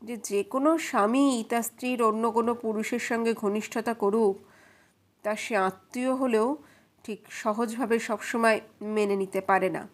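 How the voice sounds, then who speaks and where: A woman voicing a confident male detective who reasons aloud in a deliberate, explanatory manner in an audio story. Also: a little high, fairly even intonation, close to an everyday voice. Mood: analytical, assured.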